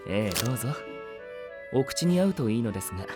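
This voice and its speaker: Man acting refined, courteous young knight, mid-pitched. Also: big pitch swings, quick pace, music in the background, natural voice. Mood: courteous, welcoming. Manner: polite, composed, elegant formal speech. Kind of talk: anime voice drama acting.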